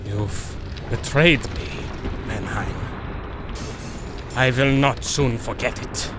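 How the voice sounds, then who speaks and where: A man's voice: mid-range voice, takes it slowly, noisy background. A man playing a grandiose, vengeful baron, theatrical and menacing, in a pulp adventure drama. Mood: cold fury.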